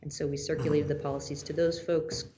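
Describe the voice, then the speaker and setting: A woman on city grants staff presenting policy updates to a council, steady with frequent fillers. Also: low voice, fairly quick, background noise. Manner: steady, many fillers, trailing off quietly.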